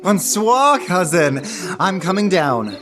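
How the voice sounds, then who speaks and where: A woman playing an ardent young gentleman in romantic radio theatre, speaking expressively and theatrically. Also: fairly low pitch, sing-song, slow pace, music in the background. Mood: exasperated.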